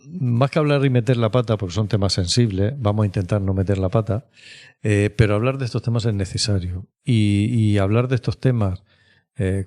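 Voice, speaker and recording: A man with an accent from Spain, an expert guest explaining in a measured, reflective way and often checking with short tag questions. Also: fairly low pitch, warm tone. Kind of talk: explanatory interview talk.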